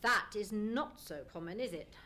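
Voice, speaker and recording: A woman playing a keen, methodical male detective in an old-time radio play, musing and deliberate, humming as she ponders. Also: mid-range voice, expressive, bright, forceful tone. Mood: keen, probing. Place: live theatre stage performance.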